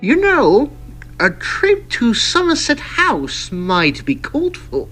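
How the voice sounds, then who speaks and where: An actor playing a wry Edwardian gentleman in a period audio drama, with theatrical, formal old-fashioned phrasing. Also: very high-pitched, huge pitch swings, takes it slowly, soft background music, exaggerated voice. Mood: pensive. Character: Edwardian gentlemen, detective and office colleague, deliberate, wryly dry. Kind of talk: period radio drama dialogue.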